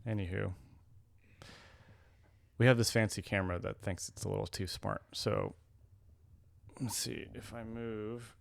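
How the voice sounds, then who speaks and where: A man's voice: fairly low pitch, steady tone, measured pace, creaky, long pauses. A young adult man co-hosting a casual podcast, rambling and correcting himself as he talks. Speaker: podcast co-host.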